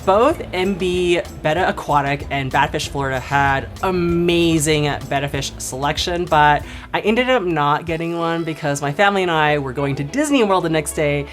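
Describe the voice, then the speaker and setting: Low-pitched woman in her 30s chatting through her vlog, upbeat, giggles a lot, faint music under it. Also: normal speed, hardly any pauses. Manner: chatty, upbeat, laughs often. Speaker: vlogger. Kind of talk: casual vlog narration.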